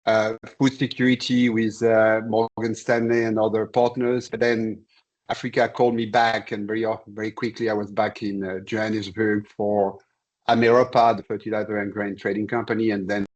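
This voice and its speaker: A man in his sixties from Senegal speaks as a guest on a video call. He tells his story in a measured, halting way, with a somewhat low voice. He pauses often as he gathers his thoughts. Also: level tone. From born in Senegal. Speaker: interview guest, commodity trader. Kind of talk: conversational career account.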